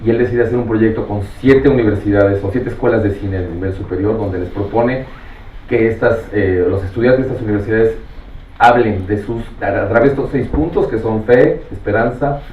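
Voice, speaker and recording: A man moderating a round-table discussion off the cuff, haltingly circling back to rephrase as he goes. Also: on the low side. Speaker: panel moderator. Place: roomy panel venue. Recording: echoey, distant mic, dull, faintly noisy.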